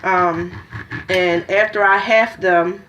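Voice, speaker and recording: A woman's voice: fairly low pitch, fairly even intonation, slow, a bit bright, highs cut off, a little hiss or hum. A woman, chatting casually as she demonstrates juicing in her home kitchen, rambling with many ums and restarts. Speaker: vlogger.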